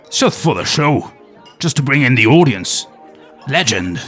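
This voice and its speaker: A man's voice: medium pitch, big pitch swings, normal speed, fairly bright tone, soft background music. A man playing an aging former nature documentary host with a wry, world-weary, conspiratorial manner. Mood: sly. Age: older.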